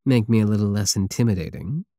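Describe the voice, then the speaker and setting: A man's voice: lowish voice, expressive, warm tone, no room sound, clean studio sound. A man playing a big, teasing, possessive space pirate king in an intimate, sardonic ASMR roleplay. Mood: cocky, teasing. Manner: slow, teasing, purring endearments, sardonic.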